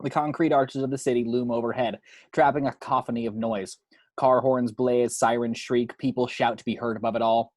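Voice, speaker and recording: A man with a mid-pitched voice narrates the action of a screenplay. He reads scene headings and descriptions as scripted narration. The sound is close and dry, without room echo. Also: steady tone, fairly quick.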